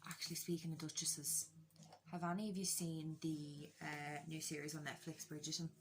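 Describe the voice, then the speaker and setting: A woman's voice: sits low, flat delivery, normal speed, noisy background. An Irish woman chatting casually through a makeup tutorial, candid, joking and self-deprecating, with a bit of swearing. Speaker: beauty vlogger doing a makeup tutorial.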